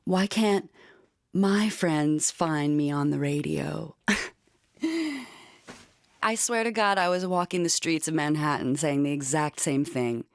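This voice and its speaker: A woman audio producer talking conversationally in an interview, telling her experiences in an animated way. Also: sits low, takes it slowly, vocal fry, no echo, clean studio sound.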